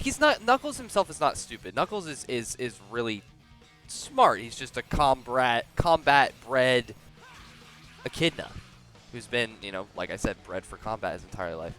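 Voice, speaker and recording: A young man giving a casual movie review close to the microphone, halting and often repeating words as he starts over. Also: mid-range voice, pushed and bright. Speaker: video reviewer. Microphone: very close to the mouth.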